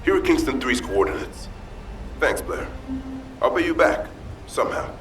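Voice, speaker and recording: A man's voice: medium pitch, animated, moderate pace, fairly bright tone, distant mic, soft background music, lightly acted, rough recording. An actor playing an earnest male field coordinator in a video game, with a businesslike, slightly anxious manner.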